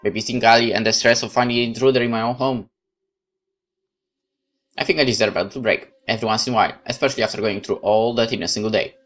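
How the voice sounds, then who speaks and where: A man's voice: on the low side, fairly even intonation, fast, fairly bright tone, soft background music. Man reading a horror game aloud. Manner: dramatic narration with casual asides. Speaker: let's play host. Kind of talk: reading a visual novel aloud with commentary.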